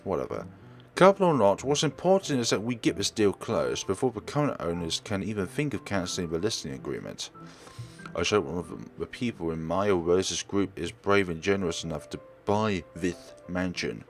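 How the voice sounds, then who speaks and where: A man's voice: sits low, sing-song, normal speed, soft background music. A man reading a visual novel aloud as a let's player, narrating expressively and sometimes stumbling and correcting himself.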